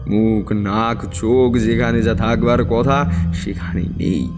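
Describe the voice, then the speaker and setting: Slow, gravelly male suspense narrator. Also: lowish voice. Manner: measured, dramatic storytelling. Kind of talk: scripted suspense story narration. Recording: long hall reverb over music. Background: music.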